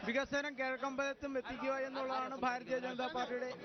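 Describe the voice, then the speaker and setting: A man's voice: very high voice, monotone, brisk. A man giving a formal political statement as a party representative. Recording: muffled, loud outdoor crowd.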